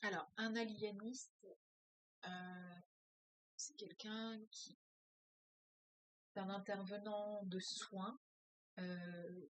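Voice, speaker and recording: A woman's voice: mid-range voice, fairly even intonation, drawn-out words, pauses often, no echo, low-quality recording. A woman who teaches French as a foreign language, reading a novel aloud and breaking off to comment, with hesitant, thinking-aloud speech full of filler sounds.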